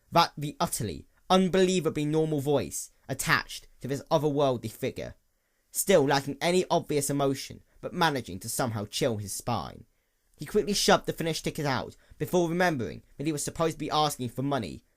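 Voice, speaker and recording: A man narrates a dramatic piece of fiction. His delivery is expressive and descriptive, with a very animated pitch that rises and falls across the sentences.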